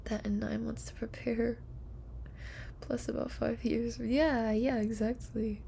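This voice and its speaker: A young woman streamer chatting softly and casually to viewers, with a whispery, giggly, playful manner. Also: fairly high pitch, level tone, slow pace, vocal fry, a little hiss or hum. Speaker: live streamer. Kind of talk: soft, casual sleep-stream chat with viewers.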